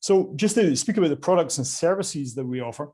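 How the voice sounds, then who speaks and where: A man's voice: a little high, animated, fairly quick, warm tone. A man with a Scottish accent presenting his company at an online conference, polite and often hesitating with fillers. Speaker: company sales director presenting. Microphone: video call.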